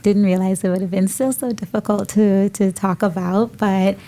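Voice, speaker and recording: A Bahamian woman co-hosting a podcast, speaking reflectively with many pauses and fillers. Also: mid-range voice, fairly even intonation, dark tone. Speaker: podcast co-host. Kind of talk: casual podcast conversation. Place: studio room.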